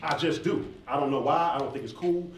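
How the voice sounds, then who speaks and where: Fast-talking American man in an echoey hall. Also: fairly high pitch. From southeastern United States, near Atlanta. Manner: candid, informal, slangy. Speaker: hip hop artist and former college athlete answering questions. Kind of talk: casual audience question-and-answer talk. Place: large echoey indoor room at a live event. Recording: echoey hall, distant mic, faint noise.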